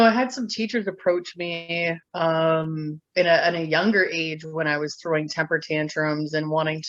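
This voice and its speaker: A middle-aged woman from Ontario tells her own story as an interview guest. She talks haltingly, with many fillers and restarts. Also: deep voice, fairly bright tone, dry recording, muffled recording. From Ontario, Canada. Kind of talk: candid personal storytelling.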